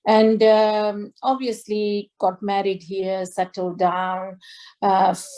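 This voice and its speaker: Slow, hesitant middle-aged woman. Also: mid-range voice, flat delivery, mellow. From Tanzania. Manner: slow, hesitant, many fillers and restarts. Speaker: guest singer. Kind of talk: interview over video call. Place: indoor home room. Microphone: video call.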